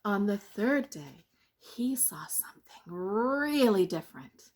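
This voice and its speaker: A woman reading a children's bedtime story expressively, with deliberate dramatic pauses. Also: medium pitch, very animated, a little mellow, a little hiss or hum. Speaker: storyteller reading a picture book.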